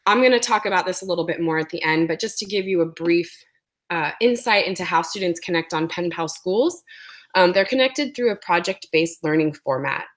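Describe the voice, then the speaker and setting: Woman webinar presenter, sore throat, lowish voice, lots of ums. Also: brisk. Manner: explanatory, many ums.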